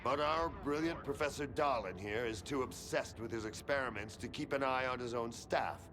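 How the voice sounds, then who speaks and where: An actor playing a gruff, short-tempered colonel in a video game, barking orders with profane sarcasm. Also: male voice, medium pitch, normal speed, music in the background, close to an everyday voice. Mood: commanding, sardonic. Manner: brusque, commanding, profane, sarcastic.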